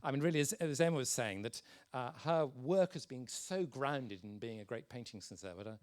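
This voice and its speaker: Male, 60s, mid-pitched voice. Senior speaker addressing a conference informally, emphatic and encouraging. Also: brisk, a little mellow. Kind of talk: informal conference address giving career advice.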